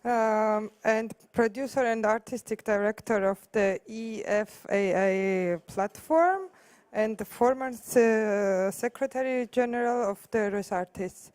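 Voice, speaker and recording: A woman moderator introduces a guest in a higher voice, speaking very slowly and haltingly. She sounds dry and a bit distant from the mic. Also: darkish tone, no echo. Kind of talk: introduction at a conference.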